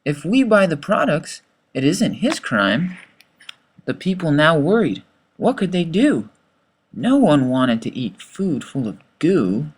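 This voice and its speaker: A man reading a rhyming children's story as its author, with dramatic, expressive delivery. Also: fairly high pitch, slow, breathy edge.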